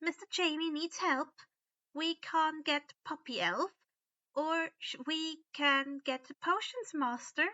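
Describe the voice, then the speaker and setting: A woman's voice: sits high, animated, slow pace, bright, forceful tone, dry recording, muffled recording, voice pushed up, exaggerated voice. A woman voicing a bossy, sarcastic female house elf servant who speaks in broken third-person grammar for a story. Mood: fretful.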